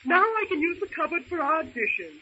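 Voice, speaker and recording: An actress playing a practical, gracious, genteel young woman castaway in an old-time radio drama, polite and expressive. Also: very high-pitched, normal speed, exaggerated voice. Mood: pleased. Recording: muffled, hissy old radio recording.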